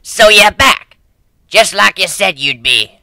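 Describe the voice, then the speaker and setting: A man voicing a tough, gruff old female cat who leads a tribe in a dramatic story reading, brash and bossy. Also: high voice, lively intonation, slow pace, pushed and bright, no room sound, pitched above the performer's own voice, heavily acted. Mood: gruff challenge.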